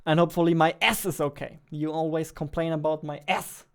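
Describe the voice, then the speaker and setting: A young man talks casually through his work at a computer, thinking aloud and joking at his own expense. His voice sits fairly high for a man, is very clear, and stays in a narrow range of pitch. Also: steady tone, a little fast. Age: young adult. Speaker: indie game developer vlogging. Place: indoors at a computer desk.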